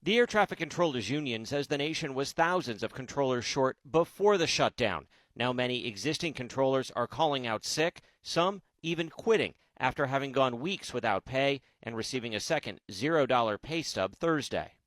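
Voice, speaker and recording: A man's voice: medium pitch, expressive, moderate pace, fairly bright tone, dry recording. A man reading a scripted broadcast news report as a television reporter.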